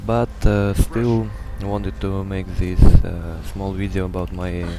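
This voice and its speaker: A man with a Russian accent, native Russian speaker, giving a hesitant, halting game guide commentary full of filler sounds. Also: sits low, flat delivery, noisy background. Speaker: gamer giving a build guide.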